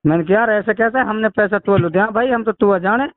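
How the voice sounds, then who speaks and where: A man's voice: high-pitched, a little fast, dry recording. A man with a Mewati accent complaining over the phone in a rambling, aggrieved way, swearing at times. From Mewat region, India. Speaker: caller making a complaint. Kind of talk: phone conversation, recounting a grievance. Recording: narrow-band, tinny phone call recording.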